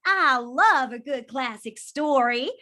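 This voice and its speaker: A woman's voice: high-pitched, lively intonation, takes it slowly, bright, forceful tone, heavily acted. A woman voicing a plucky, theatrical firefly pirate leader in an animation table read, grand and eager.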